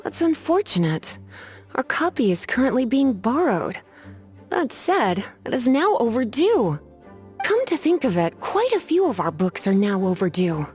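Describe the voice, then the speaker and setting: A sultry, weary sorceress librarian. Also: female voice, fairly high pitch, very animated, unhurried, darkish tone. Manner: drawling, sultry, weary sighs. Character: languid, teasing female librarian-sorceress. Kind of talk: video game voice acting. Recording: game music underneath.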